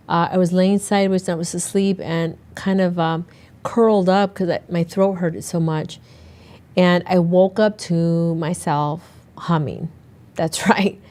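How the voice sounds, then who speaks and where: A middle-aged woman hosts a solo podcast in a slightly low, raspy voice that is still hoarse from being sick. She talks in an earnest, conversational way and often circles back to restart a thought. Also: darkish tone. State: hoarse after a recent illness. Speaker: podcast host and naturopathic doctor. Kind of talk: casual solo podcast talk.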